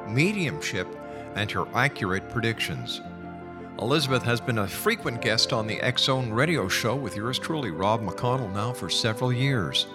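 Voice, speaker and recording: Man, radio show host, emphatic announcer phrasing, showman delivery, music in the background. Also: mid-range voice.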